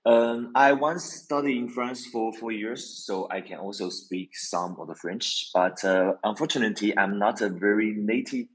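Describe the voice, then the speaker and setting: A man, not an English speaker, introducing himself as a panel guest in modest, halting English. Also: fairly low pitch, rough recording. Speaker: panel guest, company executive.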